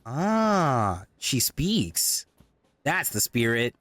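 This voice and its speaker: A cocky teenage boy played by an actor in an audio drama, goading his friends with brash, slangy bravado. His pitch swings around in a very lively way. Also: drawn-out words, heavily acted. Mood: cocky, eager.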